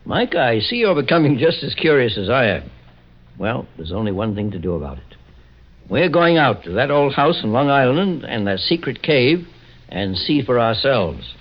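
A man acting a polite, inquisitive American gentleman investigator. He is composed and formal, with a medium pitch that moves expressively. The sound is a muffled vintage radio drama. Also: big pitch swings, normal speed, a little hiss or hum, lightly acted. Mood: curious, resolved. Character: courteous, composed, curious male private investigator. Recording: muffled old radio recording.

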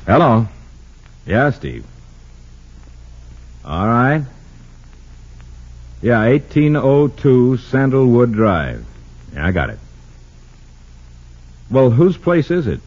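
An actor playing a wry, inquisitive, easygoing newspaperman with a husky voice in an old radio drama. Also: medium pitch, sing-song, slow, pauses often, exaggerated voice. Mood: wry, casual. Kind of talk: 1940s-50s radio drama acting. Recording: dull lo-fi old radio recording.

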